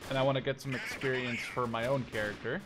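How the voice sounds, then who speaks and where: A man in his twenties giving casual let's play commentary, thinking out loud in short phrases as if talking to himself. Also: medium pitch, steady tone, quick pace, very noisy. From Canada or Netherlands. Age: 20s. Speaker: gaming YouTuber playing and commentating.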